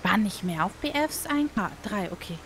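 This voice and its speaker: A woman chatting casually during a game roleplay, reacting quickly and asking questions. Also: medium pitch, lively intonation, slight background noise. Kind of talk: casual roleplay chat over voice chat.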